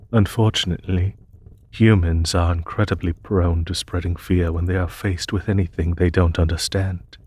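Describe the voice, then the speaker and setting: A man playing a courteous, solemn demon emperor in a fantasy audio roleplay, speaking gently and formally with a husky voice to reassure the listener. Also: deep voice. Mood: sombre regret. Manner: formal, measured, reassuring, polite phrasing.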